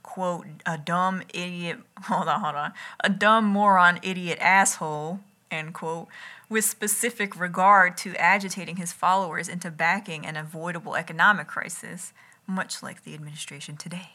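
Woman, lower-pitched, crisp bright tone, ASMR read-along, deliberate literary delivery, wry, close-miked at home. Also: fairly even intonation. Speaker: writer reading own missive aloud. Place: home kitchen. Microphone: close enough to catch breath and lips.

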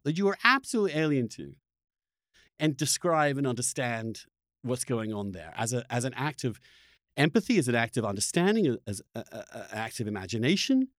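A man speaks as an interview host in an impassioned, conversational way. His mid-pitched voice rises and falls in a very animated range, with emphatic, halting phrasing and repeated words. The sound is dry, with hardly any room on it. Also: no room sound. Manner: halting, with repeated words and restarts when worked up; earnest, emphatic. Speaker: podcast host interviewing a guest.

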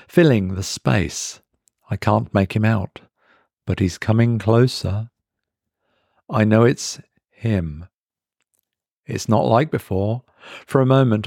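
A man reading a first-person horror story as a trapped man in dazed, creeping dread, speaking in halting, brooding phrases. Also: lowish voice, vocal fry, darkish tone, dry recording, clean studio sound, heavily acted. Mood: mounting terror.

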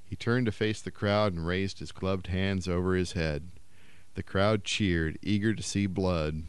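A man reads a short story in a low, measured voice. His tone is smooth and resonant, and the recording is close and dry. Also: steady tone, no echo. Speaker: story reader.

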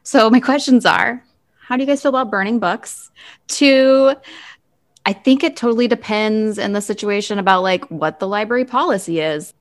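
A woman's voice: medium pitch, normal speed. A woman co-hosting a podcast, talking in a casual conversational way with a panel. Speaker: library podcast co-host. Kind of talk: casual panel chat, introducing a news item.

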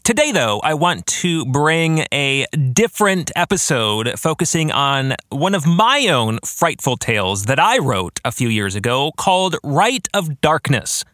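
Animated, bright-voiced man hosting a podcast. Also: on the high side, measured pace, few pauses, dry recording. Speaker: podcast host and novelist. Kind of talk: scripted-sounding podcast monologue with casual asides.

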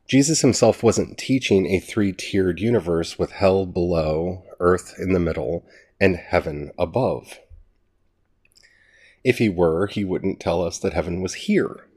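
A man with a cold gives a casual Bible study, his voice gravelly and stuffed-up. He talks slowly and earnestly, and his voice sits very low. He thinks aloud as he goes. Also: a little mellow. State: has a cold, gravelly and nasal. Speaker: writer teaching a Bible study series. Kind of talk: casual spoken teaching.

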